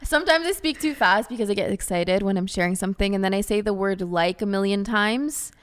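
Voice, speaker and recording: A woman's voice: medium pitch, a little fast, smooth. Earnest woman hosting a podcast, mid-range voice. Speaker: podcast host. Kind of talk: casual unscripted podcast chat.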